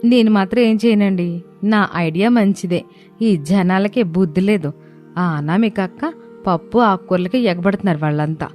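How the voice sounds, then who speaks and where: A woman's voice: medium pitch, normal speed, darkish tone, soft background music, lightly acted. A woman voicing a plaintive young daughter-in-law in a moral family story. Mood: indignant, complaining. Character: proud young daughter-in-law, complaining then remorseful.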